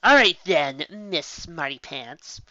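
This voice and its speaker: A woman's voice: deep voice, expressive, slow, sharp tone, no room sound, highs cut off, full character voice. A woman voicing a scornful female sea creature who drawls sarcastically. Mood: sarcastic.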